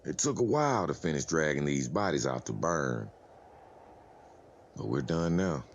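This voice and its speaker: A man's voice: extremely low pitch, animated, normal speed, a little hiss or hum. A man playing an easygoing, jokey survivor chatting casually in a dramatized comic. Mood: casual report. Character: easygoing, joking male survivor reporting on prison chores. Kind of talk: dramatized comic-book voice acting.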